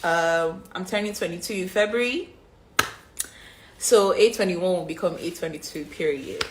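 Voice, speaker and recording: Young Nigerian woman in her twenties on a phone live stream, low-pitched, chatty and unhurried, reading questions then rambling through answers. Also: noisy background. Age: 20s. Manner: reads fan questions aloud then answers chattily with many ums. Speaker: reality show contestant answering fan questions.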